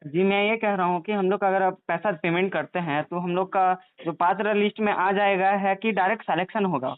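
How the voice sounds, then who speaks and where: A man's voice: high voice, a little fast, clean tone, no room sound. A young man in a phone conversation, negotiating in a hesitant, deferential and pleading manner. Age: young adult. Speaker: job applicant on a phone call. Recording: tinny, narrowband phone call recording.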